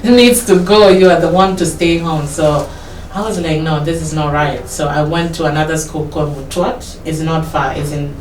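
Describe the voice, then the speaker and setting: A Kenyan woman with a low voice and a Kenyan English accent recounts her life in a relaxed interview, often restarting sentences. She sounds distant from the mic in an echoey room, with faint noise behind her. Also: normal speed, hardly any pauses. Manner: rambling, self-correcting, laughs while telling. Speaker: interview guest. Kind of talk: casual life-story interview.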